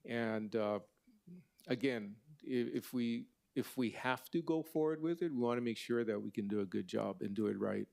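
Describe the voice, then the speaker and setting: A man from city finance staff answering council questions in a halting way that repeats words as he goes. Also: medium pitch, creaky, darkish tone.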